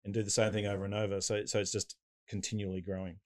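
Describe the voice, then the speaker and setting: A man with a deep voice, a guest in a casual interview, explaining technology at length with everyday analogies. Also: level tone, fast. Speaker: guest, tech business owner.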